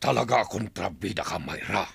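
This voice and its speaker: Male actor as villainous chieftain, very gravelly, forceful, snarling, defiant, radio drama. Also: low-pitched, expressive, fairly quick, exaggerated voice. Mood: bitter.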